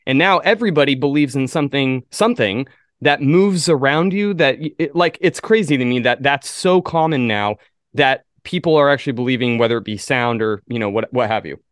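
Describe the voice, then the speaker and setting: A man's voice: mid-range voice, fairly quick, dry recording, studio quality. A man chatting casually in a podcast conversation, telling things in a loose, everyday way. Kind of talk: casual chat.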